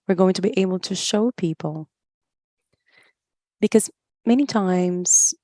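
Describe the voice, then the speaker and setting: A woman giving a live spoken interpretation of a talk, in halting phrases with pauses mid-thought. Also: fairly low pitch, darkish tone, no echo, clean studio sound. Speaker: interpreter voicing another speaker's words.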